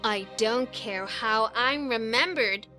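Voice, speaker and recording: A woman's voice: a little high, takes it slowly, pushed and bright. A woman playing a defiant, desperate young angel in an anime visual novel, with dramatic delivery. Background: background music.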